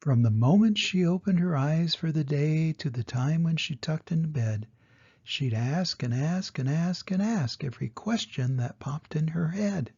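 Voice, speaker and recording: An older man, a grandfather with a husky, gravelly voice, reading a children's storybook and gently explaining it to young listeners. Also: on the high side, lively intonation, a little slow, highs cut off.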